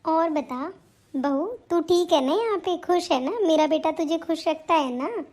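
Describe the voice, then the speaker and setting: A woman's voice: very high voice, a little slow, darkish tone. A woman voicing an affectionate, long-suffering elderly mother-in-law in a melodramatic family cartoon, with a plaintive, motherly manner.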